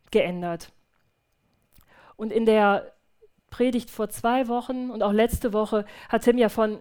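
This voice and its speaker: A woman's voice: mid-range voice, a little mellow. Earnest woman giving a church testimony. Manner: earnest and reflective, with hesitant restarts. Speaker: returning missionary speaking in a church service. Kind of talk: church testimony followed by spoken prayer. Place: church service.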